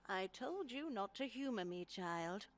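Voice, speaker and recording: An actress playing an older convent nun in a visual novel game, genial but probing, with a measured, playfully coy way of talking. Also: female voice, medium pitch, expressive, faint music behind, lightly acted. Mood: teasing, musing.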